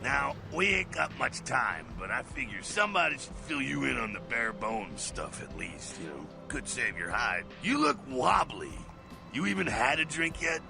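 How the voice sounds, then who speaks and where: An actor playing a brash, streetwise vampire mentor in a video game, gravelly, slangy and swaggering, with a rough jovial streak. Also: male voice, medium pitch, big pitch swings, moderate pace. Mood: gruff, coaxing, then gleeful, teasing. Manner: slangy, folksy, swaggering, swears. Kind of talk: video game character acting. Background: music.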